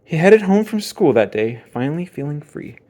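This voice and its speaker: A man's voice: a little high, sing-song, darkish tone. Man telling a story with big, swooping intonation. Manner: theatrical, suspenseful pauses and emphasis. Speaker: children's book read-aloud narrator. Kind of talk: dramatic scripted storytelling.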